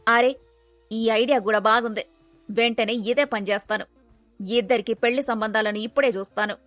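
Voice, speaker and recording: A woman's voice: fairly high pitch, steady tone, brisk, a bit bright, no room sound, faint music behind, close to an everyday voice. A woman playing a contented, agreeable middle-aged mother-in-law in a family story. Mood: approving.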